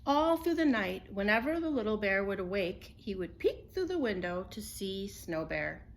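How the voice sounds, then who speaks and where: A woman storyteller reading a children's picture book aloud in an expressive, unhurried way. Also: medium pitch, a little hiss or hum, rough recording.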